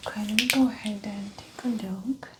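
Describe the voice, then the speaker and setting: A woman whispering softly in an ASMR medical exam roleplay, calmly talking through each step of the check. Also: medium pitch, moderate pace, heavy background noise.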